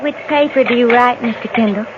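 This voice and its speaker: A woman's voice: a little high, brisk, natural voice. An actress playing a proud, strange, intense young frontier woman with a direct, challenging manner, in an old radio western. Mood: probing. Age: young adult. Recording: dull, noisy old radio recording.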